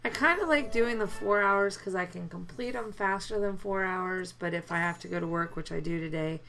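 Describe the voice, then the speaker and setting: A woman commenting casually on a mobile game as she plays, her voice deepened and gravelly from a chest cold, chatty with many fillers. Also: moderate pace, fairly bright tone, rough recording. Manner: chatty, mildly complaining, lots of fillers. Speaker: let's play gamer.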